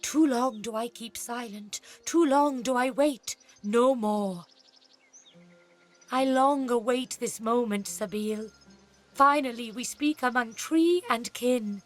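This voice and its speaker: Slow, solemn elf seer. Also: female voice, fairly high pitch. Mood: solemn, yearning. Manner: formal, grave, stilted phrasing. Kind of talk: video game character acting. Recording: music behind the voice.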